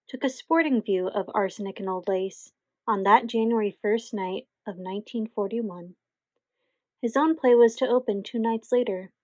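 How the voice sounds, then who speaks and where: Young woman narrator, slightly high, very dry sound. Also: no echo. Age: young adult. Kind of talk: scripted radio play narration.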